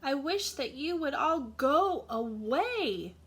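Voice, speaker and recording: A woman's voice: high-pitched, sing-song, takes it slowly, fairly bright tone, voice pushed up, exaggerated voice. A woman voicing a small, tired mouse from a children's storybook. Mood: exasperated, pleading.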